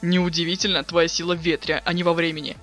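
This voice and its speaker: A man's voice: a little high, little pitch movement, fast, fairly bright tone, soft background music, natural voice. A man playing a cool, impatient, sardonic teenage boy in a dramatic webcomic reading. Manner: flippant, sardonic, slangy.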